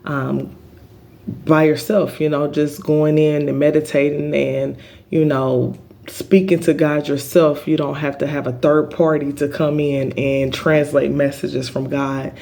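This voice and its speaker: A middle-aged man speaks earnestly about spiritual healing to his channel's viewers, pausing often on filler ums. His voice is big and pitched a little high for a man, with an even, level tone that barely rises or falls. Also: vocal fry. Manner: earnest, halting, many um fillers. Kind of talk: spiritual healing vlog monologue.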